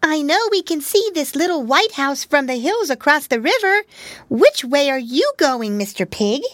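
A woman voicing a sweet, cheerful little female piglet in a storybook, high and chirpy. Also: sing-song, moderate pace, a bit bright, no room sound. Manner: high, chirpy, sing-song.

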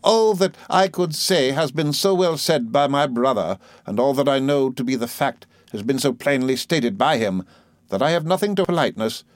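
Haughty prim spinster, voiced by a male narrator, sarcastic, pitched high. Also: normal speed, hardly any pauses, dry recording, studio quality, close to an everyday voice. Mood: sneering. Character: haughty, sneering spinster sister, woman.

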